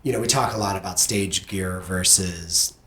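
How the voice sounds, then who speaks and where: A man's voice: low-pitched, normal speed, a bit bright. A middle-aged man hosting a casual conversational interview, speaking in an earnest, reflective way. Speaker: podcast host interviewing own teenage child. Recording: roomy echo, background noise.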